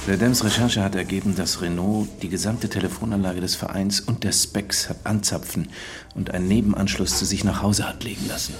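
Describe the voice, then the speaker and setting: An actor playing a shrewd, methodical detective in a radio drama, laying things out deliberately and pointedly. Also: male voice, deep voice, steady tone, slight background noise, close to an everyday voice. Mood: measured, pointed.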